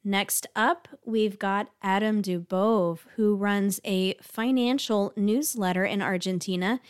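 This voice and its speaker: A woman's voice: mid-range voice, steady tone, takes it slowly, smooth. Friendly woman hosting a podcast. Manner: friendly, curious questioning. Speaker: podcast host. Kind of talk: podcast interview.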